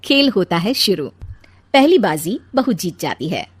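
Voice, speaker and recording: A woman's voice: medium pitch, very animated, a little slow, breathy edge, no room sound. A woman narrating a scripted story as a storyteller.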